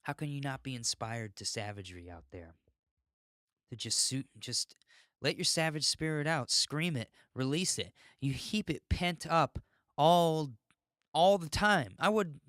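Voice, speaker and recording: A man's voice: medium pitch, a bit bright, no echo, studio quality. An American man hosting a podcast alone, rambling and ranting in an unfiltered, sweary way. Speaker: podcast host. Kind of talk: rambling solo podcast monologue.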